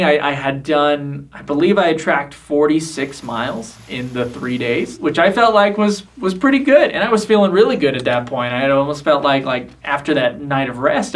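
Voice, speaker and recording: A man with a fairly high voice casually looks back on a hiking trip. The mic sounds a little distant from him. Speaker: hiker. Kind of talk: casual recollection to camera.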